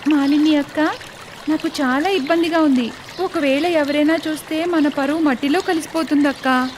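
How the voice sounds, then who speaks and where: A woman's voice: sits high, fairly even intonation, normal speed, a little hiss or hum, close to an everyday voice. A woman voicing an anxious, principled young village daughter-in-law in a cartoon story, pleading and earnest. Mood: worried.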